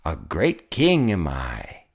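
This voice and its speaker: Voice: very deep voice, huge pitch swings, slow, gravelly, slightly rough, pitched below the performer's own voice, full character voice. A storyteller voicing a boastful, tyrannical turtle king with a pompous, bellowing, commanding manner for a children's picture book. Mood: gloating.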